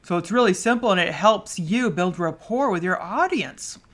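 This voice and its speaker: Woman, podcast host, loud voice, fast talk, stumbles and repeats words, casual solo chat. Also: fairly low pitch, lively intonation.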